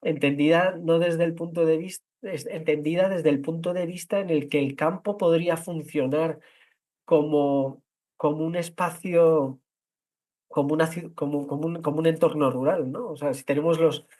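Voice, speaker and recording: A man's voice: a little high, fairly even intonation, moderate pace, dark tone. A man with a Castilian Spanish accent hosting a talk, speaking discursively and spontaneously, often repeating and rephrasing as he goes. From La Mancha, Spain. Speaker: host introducing architecture award winners. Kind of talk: spontaneous moderated online talk.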